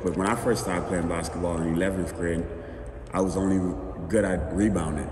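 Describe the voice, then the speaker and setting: A young man tells his story in a low voice, relaxed and rambling. He sounds distant from the mic, and his voice carries a long, roomy reverb. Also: brisk, a little hiss or hum. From Bahamas. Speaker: guest. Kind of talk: casual interview storytelling. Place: outdoors. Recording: echoey, reverberant, from afar.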